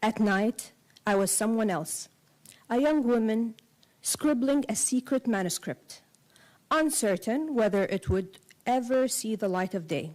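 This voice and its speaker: A woman novelist who grew up between Kuwait and Saudi Arabia, a native Arabic speaker, reading a prepared essay aloud in measured, deliberate phrases. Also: fairly high pitch, lively intonation, a little mellow. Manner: measured, deliberate phrasing in short cadenced clauses.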